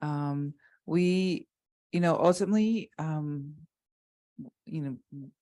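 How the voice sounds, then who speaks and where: Low-voiced halting woman panelist. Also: dark tone. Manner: halting, many restarts and fillers. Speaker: foundation board member on a panel. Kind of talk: unscripted panel discussion.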